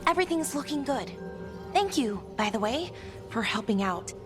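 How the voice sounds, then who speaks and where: An actress voicing an earnest, gentle young female artifact expert in a video game, speaking conversationally with slight hesitancy. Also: fairly high pitch, big pitch swings, normal speed, over music. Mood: earnest, grateful.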